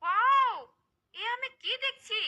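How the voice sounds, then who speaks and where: A woman's voice: extremely high pitch, slow, sharp tone, lo-fi sound, faint music behind, full character voice. A woman voicing a gullible, greedy wife and mother in a cartoon, pushy and dramatic. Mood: excited, greedy. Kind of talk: cartoon character dialogue.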